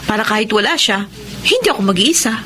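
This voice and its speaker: A woman's voice: mid-range voice, very animated, quick pace, pushed and bright, a little hiss or hum. A woman with a Filipino accent playing an outspoken, chummy young working woman in a radio drama. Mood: chummy, confident.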